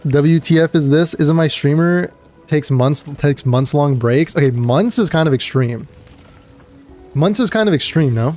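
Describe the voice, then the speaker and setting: A man streaming a game, his voice a little gravelly and dry today, rambling casually and greeting viewers between trailing fillers. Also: fairly high pitch, unhurried, darkish tone, soft background music. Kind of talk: casual live-stream chat.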